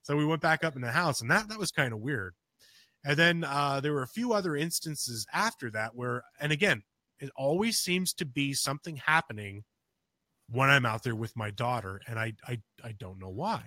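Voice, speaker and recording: A man's voice: mid-range voice, lively intonation, normal speed, dry recording. A man co-hosting a podcast, telling a personal story casually, rambling with many restarts and fillers. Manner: rambling, full of restarts and "you know"; gets louder and more urgent as the story builds. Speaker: podcast co-host.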